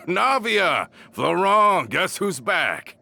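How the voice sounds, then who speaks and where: A man's voice: high voice, sing-song, very slow, creaky, sharp tone, heavily acted. A man playing an easygoing, hearty gang member back from prison in an anime video game, informal and talkative. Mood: jovial.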